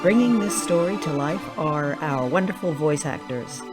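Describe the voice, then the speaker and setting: A woman's voice: sits low, expressive, moderate pace. A woman reading scripted narration and credits as the narrator of an audio drama podcast. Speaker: narrator, writer and director. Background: music.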